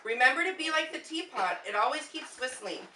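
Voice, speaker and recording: A woman's voice: high-pitched, lively intonation, fairly quick, pushed and bright. An American woman reading a scripted story aloud to relatives as a puppet show narrator. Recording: echoey, noisy room recorded from far off.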